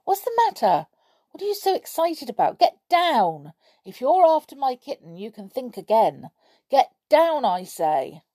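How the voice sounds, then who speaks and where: A woman voicing a rough-spoken young stranger boy who calls out loudly and defiantly. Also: mid-range voice, animated, normal speed, no echo. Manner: loud, defiant calling out.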